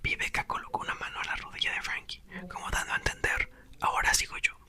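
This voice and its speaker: A man reading a novel aloud in a soft, slow ASMR whisper, close to the microphone. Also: very high-pitched, steady tone. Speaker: ASMR reader.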